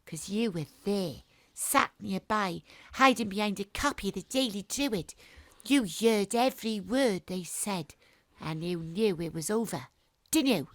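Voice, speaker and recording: Woman acting a knowing, accusing investigator, gravelly edge. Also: medium pitch, expressive, measured pace, dry recording. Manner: insistent tag questions, knowing. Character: probing, accusing woman investigator laying bare a secret. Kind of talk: audio drama acting.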